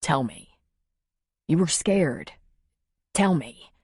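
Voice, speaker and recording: A woman voicing a gentle, concerned man in an audiobook story, speaking softly and coaxingly. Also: very animated, extremely slow, husky, no room sound, studio quality, exaggerated voice. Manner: soft, low-key, coaxing.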